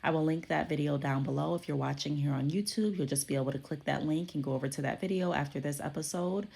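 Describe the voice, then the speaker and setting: Low-voiced congested woman podcast host. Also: fast, gravelly, darkish tone, slightly rough. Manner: conversational, frequent "um" and "okay?" check-ins. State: congested from a cold. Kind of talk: solo podcast explainer.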